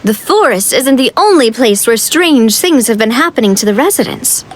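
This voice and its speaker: High, breezy young leader. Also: female voice, lively intonation, moderate pace. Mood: matter-of-fact. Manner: breezy, self-assured, conversational. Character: confident, breezy young female leader, upbeat and adventurous. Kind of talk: animated game dialogue. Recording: music playing behind the voice. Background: game music.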